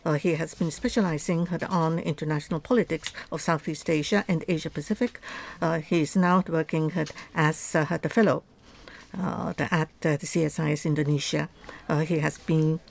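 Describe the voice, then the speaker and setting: Low gravelly woman moderator, halting. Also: fairly even intonation. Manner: halting, many fillers. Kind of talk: introducing a speaker.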